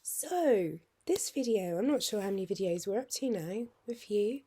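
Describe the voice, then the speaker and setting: Husky, hoarse British woman vlogging. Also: mid-range voice, animated, moderate pace, warm tone. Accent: British English. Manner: rambling, friendly, drops to near-whisper at times. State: husky, hoarse after surgery tubes. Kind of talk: casual chatty vlog.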